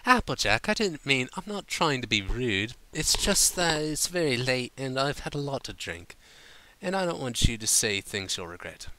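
A man's voice: medium pitch, big pitch swings, moderate pace, pushed and bright, pitched above the performer's own voice, rough recording. A man voicing a refined, tactful female pony in a storybook, speaking in a polite, proper, slightly flustered way. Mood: placating. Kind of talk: fan fiction audiobook character voice.

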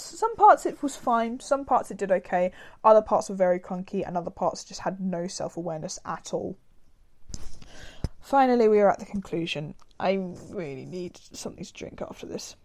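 A woman giving a casual spoken review, her voice slightly raspy from a cold, rambling with many fillers and restarts. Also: mid-range voice, darkish tone. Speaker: video reviewer.

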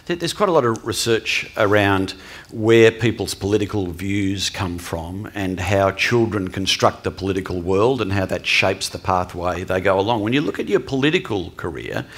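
A man's voice: low voice, lively intonation, normal speed, fairly bright tone, slightly rough. A middle-aged man hosting an on-stage interview, asking questions wryly with hesitant restarts. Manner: wry, joking, with hesitant restarts. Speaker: host interviewer. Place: large hall on stage.

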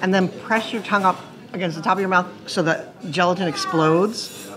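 A woman's voice: fairly low pitch, a little fast, rough recording. A woman guide in a restaurant, explaining in a matter-of-fact way how each dish is made. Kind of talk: casual explaining. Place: restaurant dining room. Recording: busy restaurant crowd behind. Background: restaurant crowd chatter.

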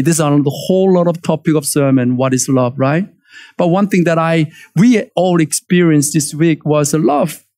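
A man in his forties with a Korean accent, preaching a lively sermon from a church stage and calling for amens. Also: high-pitched. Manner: animated, emphatic preaching with call-and-response. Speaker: pastor.

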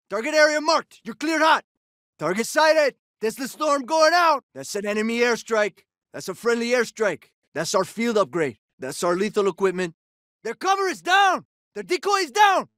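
A man's voice: very high voice, big pitch swings, moderate pace, sharp tone, no room sound, clean studio sound, exaggerated voice. A man playing a cocky, wisecracking soldier in a video game, delivering short, punchy combat callouts. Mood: urgent shouting. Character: cocky, jokey male soldier, sporty ex-jock type. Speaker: video game operator character.

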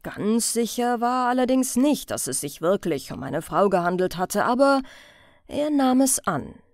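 Woman, audiobook narration, slightly high voice, lively intonation, careful storytelling. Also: normal speed, no echo, studio quality.

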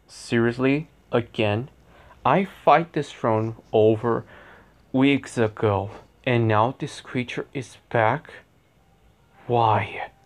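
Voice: mid-range voice, takes it slowly, lightly acted. A performer voicing a lazy, playful cartoon fox big brother, with a drawling, theatrical delivery. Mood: indignant. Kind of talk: character voice lines, acted.

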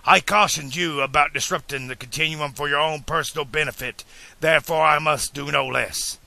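A man's voice: medium pitch, expressive, measured pace, vocal fry, sharp tone, dry recording, full character voice. A man voicing an eccentric, stubborn, passionate older inventor in an audiobook, speaking in a formal, declamatory way. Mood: grave, resolved. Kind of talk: audiobook character dialogue.

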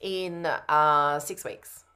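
A woman in her thirties with a low voice, talking casually on a video call. She plays the prospect in a sales roleplay, replying in an everyday conversational way. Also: fairly even intonation, drawn-out words, bright, forceful tone. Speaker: coaching trainee playing a sales prospect. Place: home room by a window, on a video call.

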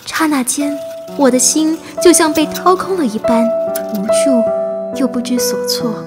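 Earnest high-voiced immigrant girl, music behind. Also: expressive, a little slow. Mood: reflective, sorrowful. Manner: earnest, emotional delivery. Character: earnest teenage immigrant girl from Vietnam, hardworking and anxious. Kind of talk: radio drama acting with reflective first-person narration.

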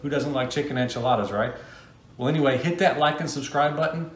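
A man's voice: mid-range voice, quick pace. A middle-aged man with an American accent, casually talking viewers through a recipe as host of a home cooking video. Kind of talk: casual how-to cooking narration. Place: home kitchen, indoors. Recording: echoey kitchen room.

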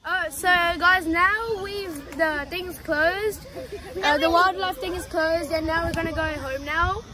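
A woman's voice: very high-pitched, unhurried. A young girl of about ten to twelve, casually presenting a vlog outdoors to a phone camera. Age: child, about ten to twelve. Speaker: vlog host. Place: outdoors in a park. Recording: noisy outdoor phone recording.

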